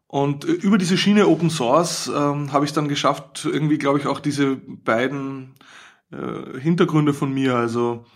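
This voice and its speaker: A man's voice: a little high, fairly even intonation, measured pace. A man with an Austrian accent, an academic guest explaining his work conversationally in an interview.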